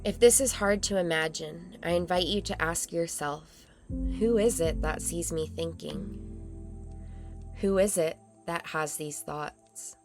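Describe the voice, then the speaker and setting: A woman narrating a guided meditation in a calm, soothing, measured way with long pauses. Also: lowish voice. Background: meditation music.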